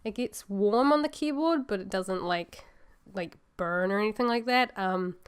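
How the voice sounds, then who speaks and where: A woman's voice: medium pitch, moderate pace, smooth. Nasal New woman with a cold. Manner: chatty, rambling and upbeat. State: sore throat. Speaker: illustrator vlogger. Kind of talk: casual voice-over chat to viewers.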